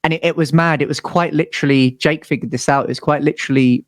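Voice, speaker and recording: A man's voice: a little high, steady tone, fast talker, no echo, clean studio sound. A man with a British accent in a casual interview, telling stories as a musician in a rambling, informal way. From United Kingdom.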